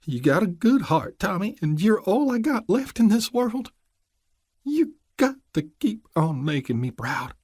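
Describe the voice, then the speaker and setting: A man's voice: sits high, sing-song, unhurried, darkish tone, husky, no room sound, clean studio sound, voice pushed up, full character voice. A man voicing an older rural mother, grieving and speaking in a thin, shaky voice. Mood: grieving, tender.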